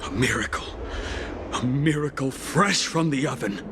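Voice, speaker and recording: An actor playing a solemn herald in a video game, grandly proclaiming heroic feats. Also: male voice, medium pitch, expressive, normal speed, background noise, lightly acted. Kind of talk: video game character dialogue. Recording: game music under the voice.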